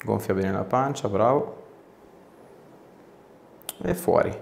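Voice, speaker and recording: A man in his thirties with a low voice talks as a chiropractor at work. He gives short, casual instructions and comments, recorded in a small, clearly echoing treatment room. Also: animated, takes it slowly, a little hiss or hum. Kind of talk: casual hands-on session talk with short instructions.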